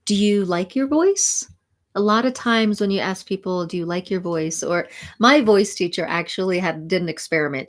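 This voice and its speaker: A woman hosting a spiritual sound healing livestream, talking slowly and soothingly while reading viewer comments and laughing softly. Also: on the low side. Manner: slow, soothing, reflective, with soft laughs and restarts.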